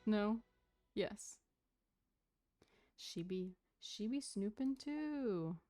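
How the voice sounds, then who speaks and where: A woman's voice: mid-range voice, lively intonation, very slow, dark tone, dry recording, faint music behind. A woman livestreamer giving casual, playful commentary over a video game. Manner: playful asides to viewers.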